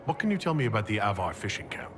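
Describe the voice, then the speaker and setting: An actor playing a composed, courteous leader in a fantasy video game, asking questions in a measured, businesslike way. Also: male voice, on the low side, big pitch swings, big-room sound, over music. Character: composed male leader of an inquisition, courteous and purposeful. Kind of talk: video game dialogue acting.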